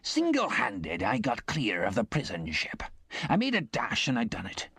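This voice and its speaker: A man's voice: mid-range voice, wildly swooping pitch, fairly bright tone, slightly rough, no echo, clean studio sound, heavily acted. A man voicing a vengeful escaped convict, fierce and disdainful, with speech. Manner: fierce, disdainful, drawn-out raised delivery.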